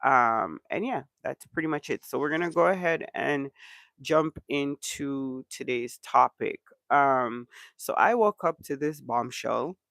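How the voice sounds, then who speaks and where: A man with a stuffy, congested voice hosts a casual livestream chat from home, rambling loosely and filling his pauses with ums. His voice has a gravelly, fry-heavy edge. The sound is dry and close to the mic. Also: medium pitch, no room sound. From Canada. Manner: relaxed rambling with many ums. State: congested from allergies. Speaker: channel host.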